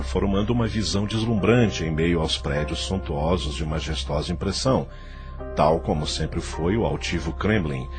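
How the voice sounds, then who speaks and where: A man with a Brazilian accent reading scripted literary narration for an audio novel. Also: sits low. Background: music.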